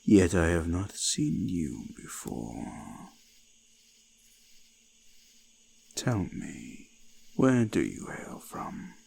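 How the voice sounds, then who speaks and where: An ancient eldritch god of the forest, menacing and curious. The deep voice crawls along extremely slowly, crackling with gravel and sinking into whispers. It is a close-up folk horror roleplay with faint ambient noise around it. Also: male voice, sing-song, a little mellow, exaggerated voice. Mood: ominous curiosity. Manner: slow, deliberate, archaic phrasing, often sinking to whispers. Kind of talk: scripted immersive roleplay monologue, folk horror. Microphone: close binaural microphone.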